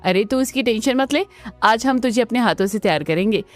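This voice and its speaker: A woman's voice: fairly high pitch, lively intonation, fairly quick, soft background music, lightly acted. A woman voicing a spiteful, snobbish young older sister in a Hindi cartoon, with an exaggerated, sneering manner. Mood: falsely sweet. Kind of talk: Hindi family cartoon voice acting.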